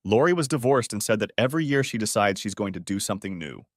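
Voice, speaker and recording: A man's voice: fairly low pitch, lively intonation, quick pace, dry recording, clean studio sound. A man narrating a first-person story from a script.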